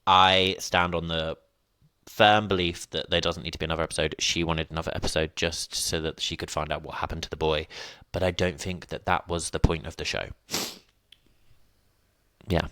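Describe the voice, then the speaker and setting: A man's voice: low-pitched, flat delivery, normal speed, sharp tone, no echo. A man, chatting casually and rambling as a podcast host, with a sniffly nose. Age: 20s. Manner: chatty, rambling, self-mocking asides. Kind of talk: casual solo podcast chat. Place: at home, indoors.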